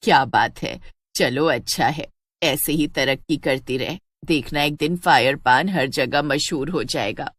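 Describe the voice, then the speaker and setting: Elderly Indian village mother-in-law played by a woman narrator, lowered voice, affectionate and homely, cartoon storytelling, dry recording. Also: measured pace, dry recording. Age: older. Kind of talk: animated Hindi storybook acting.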